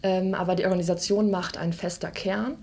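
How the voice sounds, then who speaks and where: A woman's voice: lowish voice, steady tone, fairly quick, a little mellow. A woman in her twenties, co-founder of a student legal aid group, speaking thoughtfully in an interview with frequent fillers. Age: 20s. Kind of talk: interview statement.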